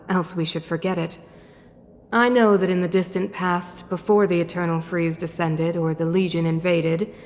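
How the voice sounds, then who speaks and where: An actress playing a regal, composed supreme ruler in a video game, stately, deliberate and condescending. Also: female voice, on the low side, close to an everyday voice. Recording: long hall reverb.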